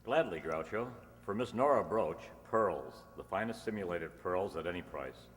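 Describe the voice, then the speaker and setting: A man's voice: low-pitched, animated, moderate pace. A man playing an old-time radio announcer, presenting sponsors' gifts with a polished sales delivery. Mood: polished selling. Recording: echoey hall with long reverb.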